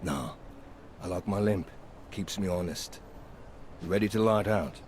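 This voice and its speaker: A man's voice: low-pitched, unhurried, a little mellow, a little hiss or hum. An actor playing a crude, joshing male pilot with a laid-back manner. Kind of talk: video game dialogue.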